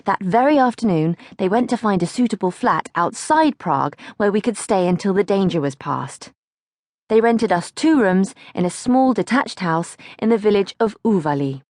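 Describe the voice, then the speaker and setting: A woman's voice: medium pitch, normal speed, no room sound, studio quality. A woman narrating a childhood diary as an audiobook, reading expressively from a script. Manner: expressive, acts out questions.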